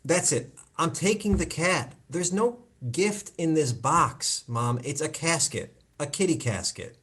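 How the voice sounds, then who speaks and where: Casting: man as concerned adult son, earnest and coaxing yet exasperated, with swooping, lively intonation. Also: fairly high pitch, unhurried, slightly rough, exaggerated voice. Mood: fed up. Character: concerned, exasperated grown son confronting his mother. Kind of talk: live play reading over video call. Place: indoors, on a video call.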